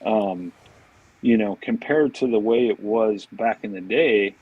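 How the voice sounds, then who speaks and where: Low husky american guy, super casual rambling podcast guest, dry close sound. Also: unhurried, no room sound, phone-quality sound. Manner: informal, rambling, peppered with "you know" and "man". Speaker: podcast guest, fishing products marketing director. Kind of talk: casual interview chat.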